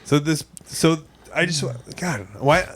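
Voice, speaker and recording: A man's voice: on the high side, takes it slowly, fairly bright tone. A man hosting a podcast, rambling and laughing easily as he interviews his guest. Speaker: podcast host. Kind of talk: casual interview.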